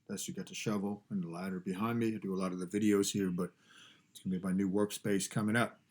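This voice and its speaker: Stuffed-up, nasal man talking low. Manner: emphatic, repeats key phrases, frequent restarts. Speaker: podcast host and fasting coach. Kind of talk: casual motivational talk. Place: home garage studio.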